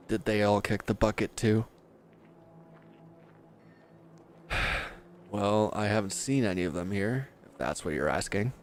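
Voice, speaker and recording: A man's voice: lowish voice, a little hiss or hum, close to an everyday voice. A man playing a bitter, wounded villain who is grieving and accusing, speaking with weary sarcasm in a scripted audio roleplay addressed to the listener.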